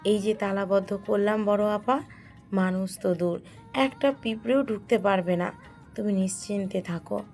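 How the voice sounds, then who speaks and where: A woman's voice: mid-range voice, fairly even intonation, normal speed, a little mellow, close to an everyday voice. A woman playing a worried, stern mother in an audio story. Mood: reassuring. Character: worried, stern mother, dutiful younger sister-in-law. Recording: music behind the voice.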